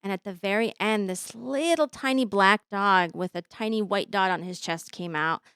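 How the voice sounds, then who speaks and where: A woman in her thirties, born in Bosnia with Bosnian as her first language, telling her story as an interview guest with many pauses and fillers. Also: medium pitch, fairly bright tone, smooth, dry recording, studio quality. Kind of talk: conversational interview.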